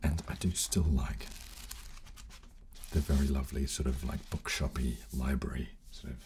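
A man in his thirties hosting a soft ASMR chat close on a desk microphone, hushed, halting and polite. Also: rumbling low, fairly even intonation, noisy background. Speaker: host of an ASMR channel. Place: small furnished studio room, indoors. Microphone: close desk condenser microphone.

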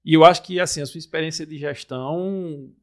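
A man hosting a studio podcast, prompting his guest in a chatty way at a desk boom mic. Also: brisk. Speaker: podcast host. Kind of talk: podcast interview. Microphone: desk mic on boom arm.

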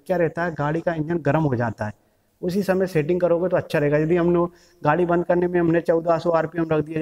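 A man's voice: on the high side, a little mellow. A middle-aged man explains as a mechanic trainer, in a repetitive, instructional manner, giving a hands-on tutorial. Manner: repetitive, instructional, checks in with "okay". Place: large bare indoor training workshop. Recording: echoey hard-walled workshop.

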